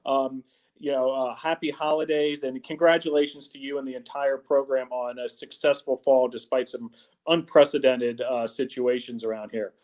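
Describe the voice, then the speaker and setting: A man's voice: medium pitch, steady tone, normal speed, a little mellow, poor audio. A middle-aged man hosting a college sports talk show over a video call, upbeat and full of uh fillers, from an office. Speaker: host. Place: office with wall logo.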